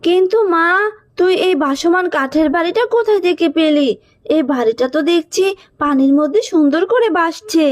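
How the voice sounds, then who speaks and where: A woman's voice: very high voice, moderate pace. A woman voicing a poor, humble mother in a children's cartoon, speaking earnestly. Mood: surprised. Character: poor, humble animal mother, anxious for her daughter. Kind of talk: Bengali children's cartoon acting.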